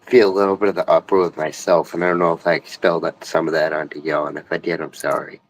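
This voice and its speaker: A man's voice: low voice, little pitch movement, fast, poor audio. A young man talking earnestly on a late-night stream, rambling and swearing a lot.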